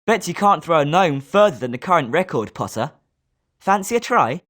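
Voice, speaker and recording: A woman's voice: low-pitched, sing-song, moderate pace. A woman with a British accent voicing a confident, sporting schoolboy wizard with a competitive streak in a video game. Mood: confident, challenging. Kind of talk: scripted video game character lines.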